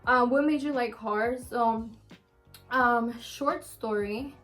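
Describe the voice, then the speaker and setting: A woman's voice: fairly high pitch, slow pace, a bit bright, faint music behind. A woman of about twenty chatting casually to camera, answering viewer questions in a candid, rambling way with many ums. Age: around twenty. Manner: chatty, frequent ums and restarts, open and candid.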